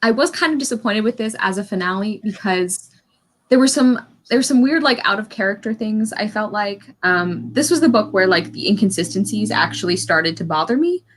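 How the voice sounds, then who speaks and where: A woman's voice: medium pitch, lively intonation, a little fast, slight background noise. Woman chatting casually about books. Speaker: book discussion panelist. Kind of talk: casual book discussion chat.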